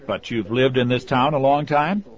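A man's voice: mid-range voice, moderate pace, natural voice. A man playing a polite reporter interviewing people in a scripted language-course dialogue. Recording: dull lo-fi recording.

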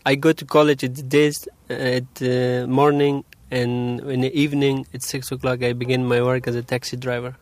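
A young man from Afghanistan, answering interview questions about his English in a halting, hesitant way. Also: mid-range voice, little pitch movement, dry recording. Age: young adult. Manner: hesitant, halting, many pauses.

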